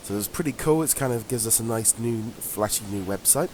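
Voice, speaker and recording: A man co-hosting a casual webcast, congested from a head cold, chatty and quick to laugh. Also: lowish voice, darkish tone, slight background noise. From England. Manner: chatty, laughs often, frequent ums. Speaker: podcast co-host. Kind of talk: casual chat.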